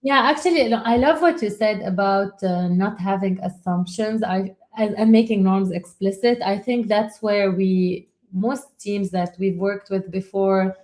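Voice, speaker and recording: A woman co-hosting a webinar, talking casually and haltingly, with frequent restarts and repeated words. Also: mid-range voice, a little mellow. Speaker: webinar co-host.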